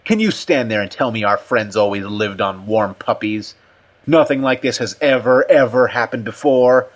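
A man's voice: medium pitch, moderate pace, natural voice. A man voicing an unhinged academic in a story, emphatic and oddly flippant in manner. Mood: flippant, detached. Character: unhinged male academic, pleading turning eerily flippant.

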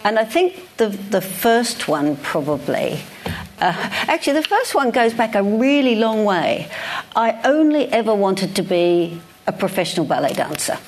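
A woman's voice: medium pitch, sing-song, normal speed, a little hiss or hum. An older Australian woman, a guest speaker, talking casually and self-deprecatingly about her career in front of an audience, with frequent restarts. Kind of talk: reflective conversation on stage. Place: lecture hall with live audience.

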